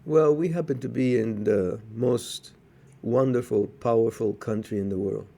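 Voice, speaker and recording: A man from Cuba co-hosting a podcast, talking in a measured, cautionary way with frequent hesitations. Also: medium pitch, creaky, mellow. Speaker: podcast co-host. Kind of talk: casual podcast chat.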